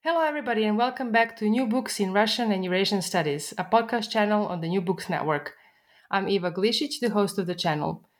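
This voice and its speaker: A woman hosting a book podcast, introducing her guest and asking measured questions. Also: medium pitch, expressive, soft background music. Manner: measured, friendly questions with fillers. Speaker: podcast host. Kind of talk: interview hosting.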